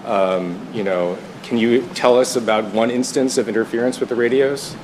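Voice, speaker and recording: A mid-pitched man puts pointed, skeptical questions at a press conference, with faint noise behind him. He challenges like a working newsman seeking answers. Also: fairly even intonation, moderate pace, darkish tone, rough recording. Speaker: freelance news photographer asking questions.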